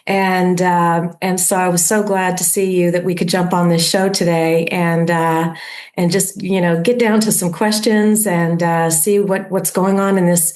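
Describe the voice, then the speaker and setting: A middle-aged woman hosting a podcast interview, talking in a friendly, halting way with many fillers and restarts. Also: lowish voice, flat delivery, darkish tone. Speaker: podcast host. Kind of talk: casual interview.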